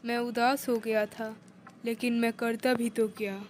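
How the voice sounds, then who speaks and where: A woman's voice: a little high, little pitch movement, unhurried, slight background noise. A woman giving scripted first-person narration of a cartoon story in the role of a young man.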